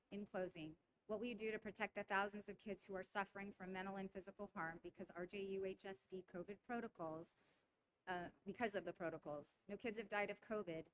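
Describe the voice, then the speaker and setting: A woman's voice: mid-range voice, fairly bright tone, a little hiss or hum, low-quality recording. A mother giving an earnest, pleading public comment to a school board. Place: school board meeting room.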